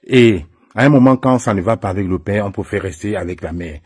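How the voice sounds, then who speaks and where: A man's voice: on the low side, a little fast, husky, no room sound, clean studio sound. A man with a Cameroonian French accent delivering an emphatic, accusatory political monologue, addressing opponents directly as an activist.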